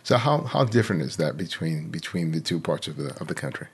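A man's voice: very deep voice, sing-song, a little fast, breathy edge. A man hosting a photography interview podcast, chatty, with restarts when he asks questions. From United States. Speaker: podcast host. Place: home studio, Southern California.

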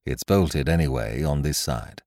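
A man's voice: rumbling low, a little slow, darkish tone, dry recording, studio quality, pitched below the performer's own voice. A man voicing an impatient, matter-of-fact middle-aged police inspector. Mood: brisk.

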